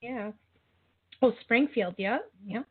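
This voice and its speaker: A woman's voice: fairly high pitch, slow, husky. A woman from western Massachusetts with the local accent, hosting an interview with chuckling, encouraging questions. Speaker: interview host. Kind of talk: casual interview chat. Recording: tinny phone line.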